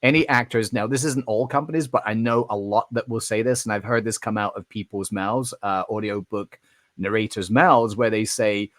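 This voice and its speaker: Animated male podcast co-host. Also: on the low side, moderate pace, no echo, studio quality. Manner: animated storyteller, acts out quoted voices; frequent restarts and fillers. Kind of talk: casual conversational chat.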